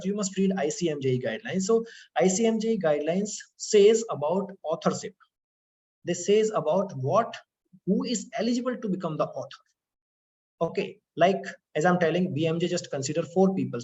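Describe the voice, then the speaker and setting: A man's voice: a little high, a little mellow, dull audio. A man with an Indian English accent giving an online lecture to students, talking in a conversational way with frequent "okay" and "you know" fillers.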